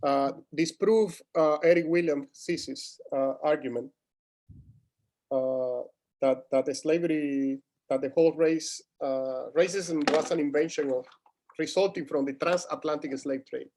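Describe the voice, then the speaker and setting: Higher-voiced Cuban man, halting delivery. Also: fairly even intonation, darkish tone. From Cuba. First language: Spanish. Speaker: guest, history academic. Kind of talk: scholarly interview conversation.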